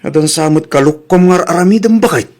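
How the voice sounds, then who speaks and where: A man acting a higher-voiced, suspicious Ilocano husband. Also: a little fast, a little mellow, natural voice. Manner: questioning, accusatory. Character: suspicious, nagging village husband. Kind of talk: Ilocano audio comedy-drama acting.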